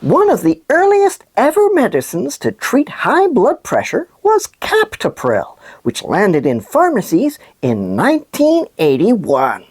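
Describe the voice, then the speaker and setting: A woman voicing a cheerful, excitable sock puppet who presents a children's news show in a playful, exaggerated way. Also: fairly high pitch, a little slow, hardly any pauses, husky, dry recording, heavily acted. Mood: upbeat. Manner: animated, exaggerated delivery. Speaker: kids' news show host.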